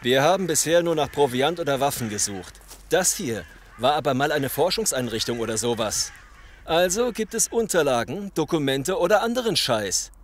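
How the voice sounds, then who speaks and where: A man plays a domineering, ruthless gang leader in a horror radio play. His voice sits a little high for a man, commanding and full of condescending menace. Faint noise and crow-filled ambience lie behind it. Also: animated, moderate pace, close to an everyday voice. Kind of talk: German audio drama acting.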